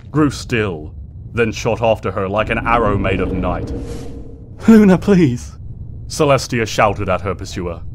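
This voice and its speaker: A man's voice: lowish voice, noisy background. A man reading fiction aloud as a narrator, in a dramatic scripted storytelling style. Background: ambient sound effects.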